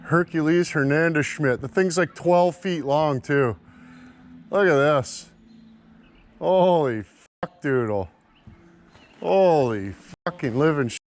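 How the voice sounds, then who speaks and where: A man's voice: on the high side, animated, slow pace, long pauses. A man bantering casually outdoors, swearing freely and joking around as he vlogs. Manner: foul-mouthed, joking. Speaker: vlogger. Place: outdoors in a yard and driveway. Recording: noisy outdoor recording, vehicle and ambient noise.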